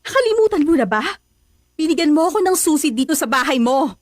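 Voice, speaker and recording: A woman's voice: high-pitched, very animated, fast, no echo, clean studio sound. An actress with a Filipino accent playing a hurt, clingy young girlfriend in a radio drama. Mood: reproachful.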